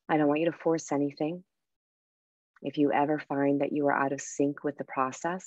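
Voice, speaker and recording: A woman guiding a meditation and breathing exercise in a soft, unhurried, soothing way with pauses. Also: very deep voice, no echo, muffled recording. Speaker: meditation guide and coach. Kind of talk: guided meditation with breathing instruction.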